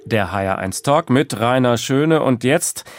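A man hosting a radio talk show, moderating an interview with smooth, inviting questions in a studio. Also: medium pitch, lively intonation, measured pace, dry recording, soft background music.

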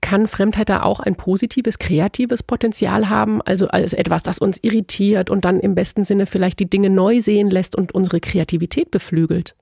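A woman interviewer asks thoughtful, probing questions. Her voice is mellow and slightly husky, with a gravelly edge, and it sounds dry and close. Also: medium pitch, steady tone, normal speed, hardly any pauses, dry recording.

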